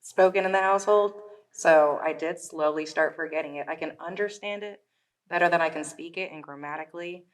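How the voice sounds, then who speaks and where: A woman answering on a school panel in a relaxed way. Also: low-pitched, fairly quick, low-quality recording. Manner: relaxed, laughs easily.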